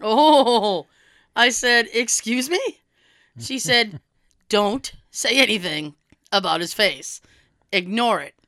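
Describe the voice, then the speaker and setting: Hoarse young woman telling a story. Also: on the high side, slow pace, pauses often, dry recording, studio quality. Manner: animated, swearing, acts out quoted dialogue. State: hoarse from a cold. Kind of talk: casual storytelling chat.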